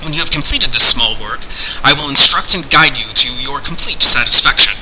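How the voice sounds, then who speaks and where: A man's voice: mid-range voice, brisk, bright, forceful tone, slight background noise, rough recording. A man voicing a commanding, courteous unseen sorcerer who speaks in formal, declamatory archaic phrases. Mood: formal, bargaining.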